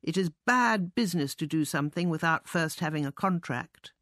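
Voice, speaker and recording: A woman's voice: low voice, lively intonation, moderate pace, fairly bright tone, dry recording, clean studio sound. A woman voicing a jovial, scornful male dairyman in a storybook reading. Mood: mocking. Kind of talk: storybook character voice.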